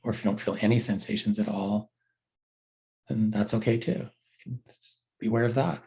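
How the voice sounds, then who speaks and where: Guy with a lowish voice teaching body scan meditation, gentle and unhurried, lots of pauses. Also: gravelly.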